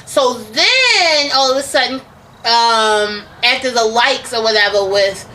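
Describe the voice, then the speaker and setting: A woman's voice: fairly high pitch, expressive, slow, sharp tone, a little hiss or hum, rough recording. A woman co-hosting a casual gossip vlog, chatty and hesitant, with lots of ums and restarts. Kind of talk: casual celebrity gossip chat.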